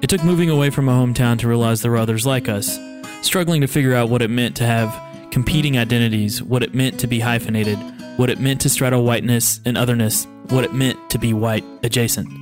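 A man's voice: medium pitch, steady tone, quick pace, over music. An American man from rural Kentucky, speaking as the host of an interview podcast. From rural Kentucky, USA. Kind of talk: podcast interview with scripted introduction.

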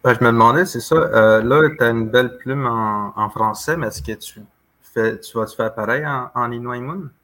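A man with a Quebec French accent co-hosting a podcast, talking casually in a hesitant, halting way. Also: medium pitch, fairly bright tone. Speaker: podcast co-host. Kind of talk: casual conversation.

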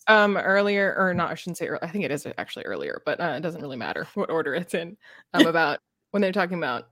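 A woman's voice: low-pitched, very animated, fast talker, a bit bright, no echo, studio quality. A woman co-hosting a book podcast in casual, slangy chat, acting out bits of dialogue as she retells stories. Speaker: podcast co-host discussing books.

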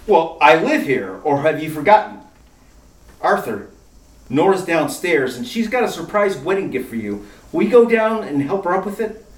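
Guy doing a wry old-time radio detective, breezy n sly, highish animated voice, echoey room. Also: moderate pace. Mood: wry, urgent. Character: wisecracking married male amateur sleuth, breezy and sly. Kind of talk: retro radio detective acting. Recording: roomy, distant radio-play recording with music.

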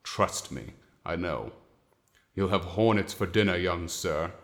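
Deep, steady colonial husband. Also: male voice, exaggerated voice. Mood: steady, wry. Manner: measured, old-fashioned phrasing. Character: steady, wry, protective husband in 1692 Salem. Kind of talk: period audio drama acting.

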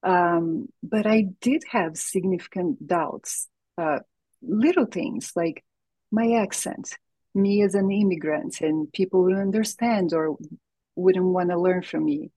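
A woman speaks English with a Brazilian Portuguese accent, her first language being Portuguese. Her voice sits low and a little husky, and it comes across dry and close. She talks in an earnest, reflective way, with frequent light laughter. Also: slow pace, mellow, dry recording, poor audio. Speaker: guest, holistic health coach. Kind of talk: casual interview chat.

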